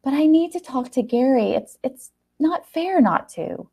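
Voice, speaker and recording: A woman's voice: high voice, animated, unhurried, vocal fry, mellow, husky, pitched above the performer's own voice, exaggerated voice. A woman voicing a giddy young bride-to-be who is exclamatory and turns hesitant and pleading when challenged. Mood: anxious, questioning.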